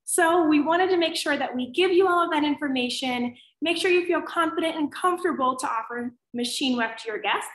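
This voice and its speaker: A woman from Pittsburgh hosting a live teaching webinar, her voice hoarse and raspy from allergies, talking in an upbeat, chatty way. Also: high voice, a little fast, hardly any pauses, a bit bright, echoey room. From Pittsburgh, USA. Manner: upbeat, chatty, often says "awesome". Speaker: webinar host and educator.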